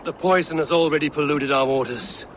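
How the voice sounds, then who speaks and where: Game role: man playing determined Viking fighter, fairly high male voice, clipped and resolute, noisy backdrop. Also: a little fast, natural voice. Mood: wary, resolute. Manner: terse, resolute. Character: tough, loyal, determined young Viking warrior. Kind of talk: dramatic video game acting.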